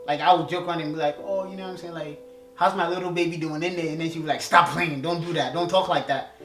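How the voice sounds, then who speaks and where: A young man with a Ghanaian accent chatting casually as co-host, rambling with halting restarts and repeating himself. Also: fairly high pitch, steady tone, a bit bright. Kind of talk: casual couple chat. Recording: echoey room with music behind.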